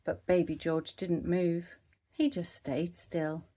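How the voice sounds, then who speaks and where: A woman reading a children's story aloud, with expressive narration phrased for young listeners. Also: on the low side, slow. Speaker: storybook reader.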